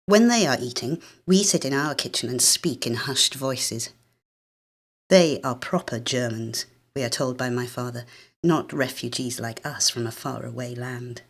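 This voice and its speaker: Woman, British accent, low pitch, literary audiobook narration, measured, expressive, dramatic storytelling. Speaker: actress reading a short story. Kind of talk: literary short story narration, first person.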